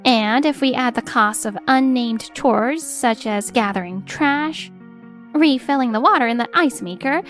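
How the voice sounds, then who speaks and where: A woman playing a composed, steely attorney in a manga dub, speaking formally and with measured assertiveness. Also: on the high side, a bit bright, soft background music. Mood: formal, firm. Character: composed, steely female attorney confronting a husband. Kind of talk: acted manga dub dialogue.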